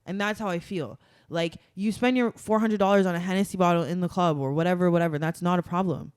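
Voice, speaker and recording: Young woman, podcast guest, smooth clear tone, dry close sound, emphatic and chatty. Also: lowish voice, steady tone, quick pace, darkish tone, no echo. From Toronto, Canada. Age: young adult. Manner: chatty and emphatic, many "like" fillers. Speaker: guest, carnival masquerader. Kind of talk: casual podcast conversation.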